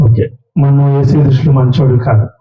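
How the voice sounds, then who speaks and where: A man's voice: mid-range voice, steady tone, fast. A man teaching children at a Sunday school puppet show, coaxing and questioning a child in a large hall. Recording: roomy, distant, dull sound.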